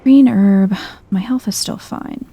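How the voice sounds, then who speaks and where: A soft-spoken woman giving chill, dryly wry ASMR-style commentary while playing a video game. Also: mid-range voice, takes it slowly, a little hiss or hum.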